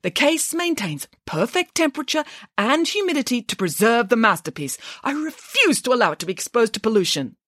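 A woman's voice: mid-range voice, sing-song, fairly quick, a bit bright, no echo, studio quality, pitched above the performer's own voice. A woman voicing a pompous, indignant French male museum director in a melodramatic, sarcastic manner for a story.

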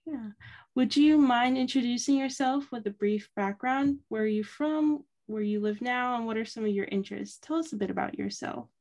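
A woman's voice: fairly high pitch, moderate pace, darkish tone. A woman co-hosting a podcast, reading a set welcome and asking the guest polite questions. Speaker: podcast co-host. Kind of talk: scripted podcast intro and interview questions.

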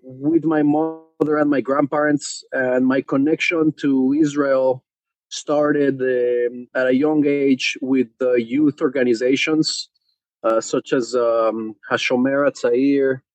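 A man from Mexico, a podcast guest telling his story with many pauses and fillers. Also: mid-range voice, fairly even intonation, no echo, lo-fi sound. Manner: hesitant, many fillers and restarts. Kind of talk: conversational interview.

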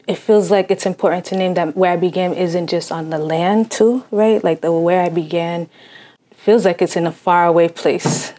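A woman's voice: on the low side, mellow. A young woman from Massachusetts whose first language is Haitian Creole, speaking reflectively as an interview guest, with frequent fillers. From Cambridge, Massachusetts. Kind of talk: reflective casual conversation.

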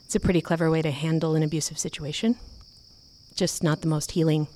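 A woman acting in an audio drama as a caring, steady advocate and old friend. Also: low voice, quick pace, lightly acted. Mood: reassuring.

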